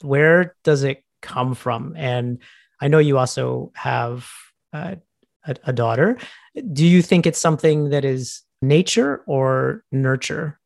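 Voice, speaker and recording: Guy interviewing, gravelly voice, dry close sound, asking curious questions. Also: mid-range voice, takes it slowly, dry recording.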